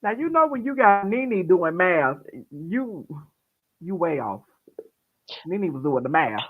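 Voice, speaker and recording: A man's voice: sits high, takes it slowly. A man co-hosting a casual gossip chat who chuckles often and agrees readily. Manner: chuckling, agreeable, lively intonation. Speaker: co-host. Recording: tinny phone-line sound.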